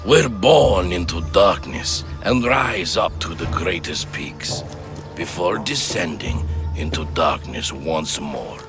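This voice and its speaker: A proud, brooding warrior with a deep voice and animated, declamatory delivery. He sounds grandiose, like a sophist in an action game. Music is clearly audible underneath. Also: slow. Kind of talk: dramatic video game voice acting.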